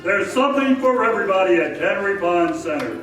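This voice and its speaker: High-voiced male radio announcer, echoey stage. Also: lively intonation, a little fast, fairly bright tone, recorded from a distance, soft background music. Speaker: announcer and narrator. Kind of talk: old-time radio announcing, scripted narration. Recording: echoey, noisy theater stage from afar.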